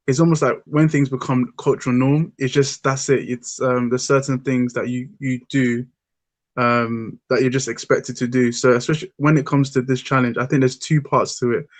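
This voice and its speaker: Hesitant man, flat dry delivery. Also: mid-range voice, normal speed, no room sound. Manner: thoughtful, hesitant with many ums. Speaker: podcast host. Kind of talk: casual discussion.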